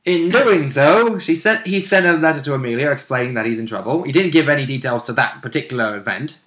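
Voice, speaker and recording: A man's voice: on the high side, lively intonation, quick pace, a bit bright. A man talking casually and informally about a tabletop role-playing session, as one of its players.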